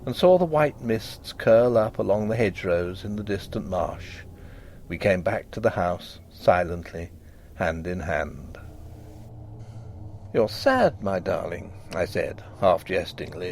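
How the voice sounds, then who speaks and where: Expressive man reading a ghost story. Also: on the low side, creaky, a little hiss or hum. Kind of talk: scripted gothic story narration.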